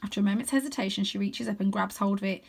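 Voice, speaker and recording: A woman reading a children's book aloud as an expressive narrator. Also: mid-range voice, very fast, gravelly, slightly rough. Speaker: storybook reader.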